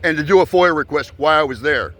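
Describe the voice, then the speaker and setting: A man's voice: quick pace, pushed and bright. An American man with a carrying voice, talking insistently and argumentatively with frequent swearing in a confrontational street conversation. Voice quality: deep, carrying voice. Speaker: citizen activist filming officers. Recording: noisy outdoor street with vehicle sounds.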